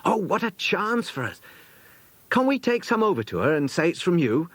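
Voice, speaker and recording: A man's voice: sits high, big pitch swings, breathy edge, dry recording, voice pushed up, exaggerated voice. Man voicing a keen, bossy British schoolboy. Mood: eager, polite. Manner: brisk, keen, organising. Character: eager, bossy schoolboy leader of a children's club.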